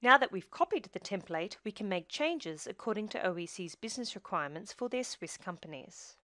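Dry-sounding woman narrating a software tutorial. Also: medium pitch, lively intonation, dry recording. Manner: measured step-by-step instruction. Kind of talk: scripted screencast narration.

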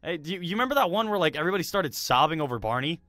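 A man playing a brash, excitable young roommate in an improvised gaming roleplay. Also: on the high side, racing, no echo. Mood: casual, amused. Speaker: series star.

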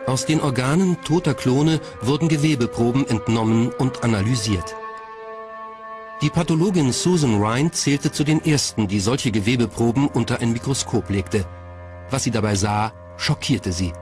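A man's voice: mid-range voice, normal speed. A man reading scripted documentary narration as the narrator. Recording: music bed behind narration. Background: background music.

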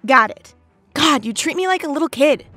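A woman's voice: sits high, lively intonation, moderate pace, pushed and bright, no room sound, soft background music. A woman playing a bratty teenage heroine. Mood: defiant. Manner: snappy, indignant. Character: defiant teenage girl hero, bratty.

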